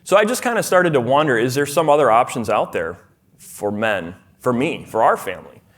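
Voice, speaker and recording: A man's voice: medium pitch, very animated, fairly quick, a bit bright. A man in his forties from Minnesota, with a Minnesotan accent, giving a conversational talk at a church conference, full of fillers and restarts. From Minnesota, USA. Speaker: conference speaker. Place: church hall.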